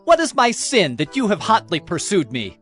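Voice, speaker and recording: A man's voice: high-pitched, huge pitch swings, normal speed, a bit bright, soft background music, heavily acted. An actor playing an aggrieved, defiant herdsman in a dramatized audio Bible, declaiming with passion. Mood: angry, aggrieved. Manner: impassioned, declamatory. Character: Jacob, aggrieved hardworking herdsman, impassioned and defiant.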